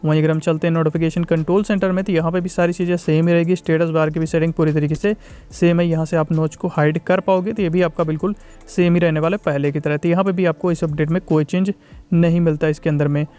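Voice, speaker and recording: Young male tech reviewer. Very quick delivery, smooth clear tone, informal and explanatory. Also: on the high side, little pitch movement, mellow, faint music behind. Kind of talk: phone software review voice-over.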